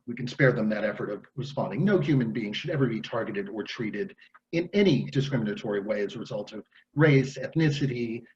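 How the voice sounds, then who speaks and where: Lively-voiced man on a muffled video call. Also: mid-range voice. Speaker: mayoral candidate. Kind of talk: forum answer over video call. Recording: dull lo-fi video call audio.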